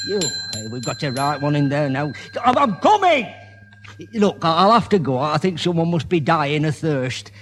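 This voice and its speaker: A man's voice: fairly high pitch, sing-song, moderate pace, fairly bright tone, in a large hall. A man playing a flustered, easily riled pub landlord with a northern English accent in a radio sitcom. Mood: harried. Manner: flustered, blustering. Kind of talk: old-time radio sitcom acting.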